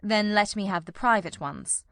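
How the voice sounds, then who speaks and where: A woman's voice: medium pitch, normal speed, fairly bright tone, dry recording, clean studio sound, natural voice. A woman playing a composed, analytical male detective in an audiobook, speaking precisely and courteously. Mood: cool, courteous. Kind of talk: dramatic audiobook dialogue.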